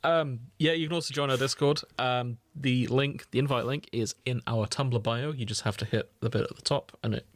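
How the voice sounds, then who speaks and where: A man's voice: mid-range voice, fairly even intonation, normal speed, hardly any pauses, no room sound. A man co-hosting a podcast in casual chat, teasing and swearing freely. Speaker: podcast co-host.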